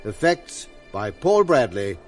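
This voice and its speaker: A male radio announcer reads the closing credits slowly and formally, with a lively rise and fall in his voice. He sounds set back from the mic, with faint music underneath. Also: fairly low pitch. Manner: measured, formal. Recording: distant broadcast announcer with music.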